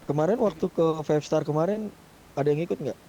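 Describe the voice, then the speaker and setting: A man's voice: on the high side, fairly quick, dark tone. A man explaining things casually in a gaming group voice chat, using street slang. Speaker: gamer in a roleplay crew. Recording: faint noise, muffled gaming voice chat.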